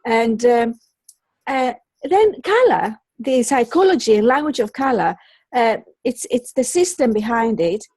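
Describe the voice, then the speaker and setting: A middle-aged woman with a Greek accent, a native Greek speaker, telling her own story as an interview guest in an expressive, wandering way with repeated words. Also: a little high, a little slow, slightly rough, no echo. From Greece. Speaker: interview guest, colour psychologist and teacher.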